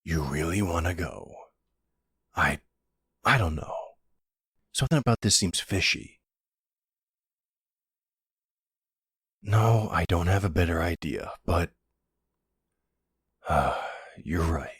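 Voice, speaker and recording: A man playing a supportive, puzzled boyfriend in an intimate audio roleplay, speaking in a hesitant, gently questioning way with a husky voice. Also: deep voice, expressive, measured pace, long pauses, no room sound, clean studio sound, heavily acted. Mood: determined, uneasy.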